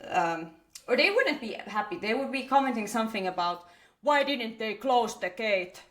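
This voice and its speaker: A woman's voice: fairly high pitch, sing-song, moderate pace, a bit bright. Finnish woman co-hosting a chatty podcast. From Finland. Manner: chatty, joking, stumbles over words. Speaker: podcast co-host. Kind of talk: casual podcast chat.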